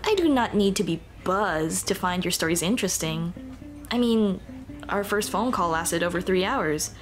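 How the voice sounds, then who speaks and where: A woman's voice: on the low side, expressive, moderate pace, natural voice. A woman playing a shy, flustered monster girl in an ASMR roleplay, with a nervous, stammering, rambling way of talking. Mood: warm, playful. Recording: music behind the voice.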